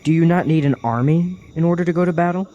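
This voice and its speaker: A man's voice: on the high side, fast, mellow, lo-fi sound, a little hiss or hum, close to an everyday voice. A man playing a cautious, earnest young traveler in a fantasy audio drama, speaking formally as an anxious protector. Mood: polite, curious.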